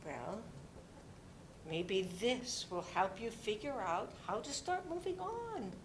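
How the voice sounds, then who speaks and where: Kind motherly neighbour, played by a woman: high voice, unhurried, gently reassuring. Stage play reading with faint rumble. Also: big pitch swings. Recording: live recording with faint rumbling noise.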